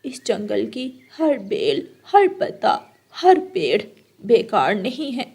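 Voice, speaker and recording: High-voiced, remorseful bird mother acted by a woman. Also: sing-song, takes it slowly, creaky, darkish tone, husky, full character voice. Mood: remorseful, earnest. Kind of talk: children's cartoon voice acting in Hindi.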